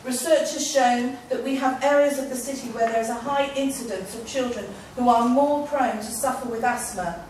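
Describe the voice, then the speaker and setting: A woman's voice: fairly high pitch, normal speed, hardly any pauses, darkish tone, recorded from a distance, a little hiss or hum. Woman candidate, raised voice, reading her statement, echoey room, heard from afar. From England. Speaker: parliamentary candidate. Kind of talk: prepared opening statement at a hustings. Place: meeting room with audience. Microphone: far room camera.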